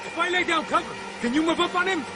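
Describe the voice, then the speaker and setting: An actor playing an urgent, commanding partner under fire in a video game cutscene. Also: male voice, extremely high pitch, fast talker. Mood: alarmed. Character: urgent, loyal male partner in a gunfight. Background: music.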